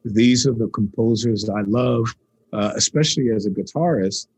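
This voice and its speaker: A man from New York, a guitarist and composer, talking casually in an interview and telling rambling, animated stories with many restarts. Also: on the low side, moderate pace, slightly rough, poor audio.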